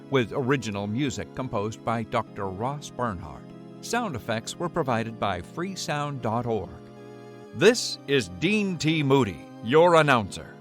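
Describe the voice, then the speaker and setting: Animated, theatrical male radio announcer. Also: mid-range voice. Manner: theatrical, portentous. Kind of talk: dramatic old-time radio announcing.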